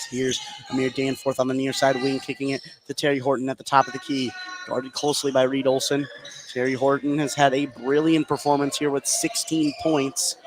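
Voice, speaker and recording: High-voiced American basketball announcer over loud noise. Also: male voice. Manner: excitable on big plays. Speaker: sports radio play-by-play announcer. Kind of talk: live basketball commentary. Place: indoor high school basketball game. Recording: noisy live gym broadcast.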